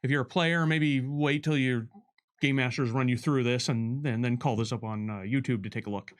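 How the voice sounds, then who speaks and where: A man talking conversationally as a game developer and co-host giving commentary, with a halting, emphatic delivery and drawn-out words. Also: mid-range voice, fairly even intonation.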